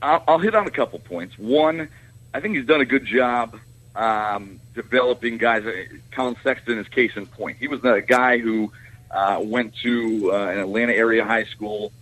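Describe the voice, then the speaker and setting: A man speaking as a guest on sports talk radio, explaining his points in a halting, unscripted way. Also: medium pitch, a bit bright, poor audio.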